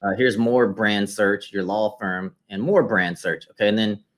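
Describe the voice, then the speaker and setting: Low-pitched man walking through a screen presentation. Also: unhurried. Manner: explanatory, walks listener through results step by step. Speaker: interviewer and marketing consultant. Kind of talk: conversational screen-share presentation.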